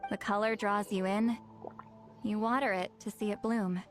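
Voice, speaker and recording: A woman voicing a gruff, lonely sorceress who has lived for centuries, talking slowly with sighs, in a video game. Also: medium pitch, steady tone, lightly acted. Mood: wistful. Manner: slow, sighing, reflective. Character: ancient young-looking sorceress, gruff and lonely. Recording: game audio with faint background music.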